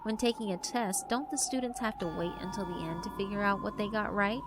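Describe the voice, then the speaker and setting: A woman's voice: mid-range voice, a little fast, very noisy, close to an everyday voice. A woman voicing a taunting female yokai who haunts an art room in a horror visual novel, with a mocking, challenging manner. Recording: music behind the voice.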